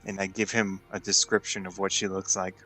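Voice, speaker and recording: A man playing a tabletop role-playing game, casually improvising in a measured, deadpan way. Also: low voice, soft background music, poor audio.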